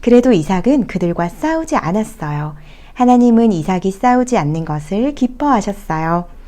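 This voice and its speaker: A woman narrating scripted Bible stories for small children in a gentle, explanatory way. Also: mid-range voice, sing-song, moderate pace. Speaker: storyteller.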